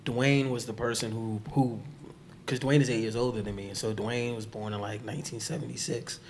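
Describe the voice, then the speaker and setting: A man from the Bronx, hoarse from losing his voice, telling stories in a casual, slangy conversation as a podcast guest. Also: medium pitch, a little fast, slight background noise. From the Bronx, New York. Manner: relaxed, slangy storytelling with many fillers.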